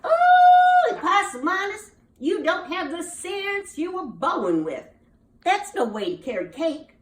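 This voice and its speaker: A woman voicing an exasperated, scolding mother opossum in a storybook, with drawn-out, dramatic emphasis and repeated admonishments. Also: very high voice, huge pitch swings, echoey room, recorded from a distance, pitched above the performer's own voice, full character voice.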